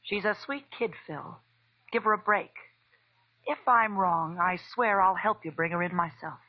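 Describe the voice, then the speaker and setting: A woman's voice: on the low side, normal speed. An actress playing an earnest, pleading young woman with an American accent in a 1940s radio detective drama. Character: earnest, pleading young woman client, protective of her sister. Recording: muffled old radio recording.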